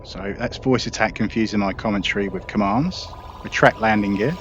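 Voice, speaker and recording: A man with a low voice calmly talks through what he is doing in a game, step by step. The sound is dull and lo-fi. A loud, steady engine rumble runs under his words the whole time. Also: brisk. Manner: relaxed, explanatory, talks himself through the controls. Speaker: gameplay commentator. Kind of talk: casual gameplay commentary. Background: loud spaceship engine and game ambience.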